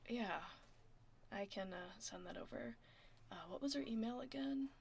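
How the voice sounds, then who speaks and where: Casting: actress as tomboy werewolf office admin, soft and breathy with gravelly fry, teasing but reassuring, close-miked ASMR with keyboard typing and faint noise. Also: female voice, on the high side, normal speed. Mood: casual, businesslike. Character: tomboy werewolf office admin woman, laid-back, gruffly caring. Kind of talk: ASMR roleplay, soft casual office chat. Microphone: close binaural microphone.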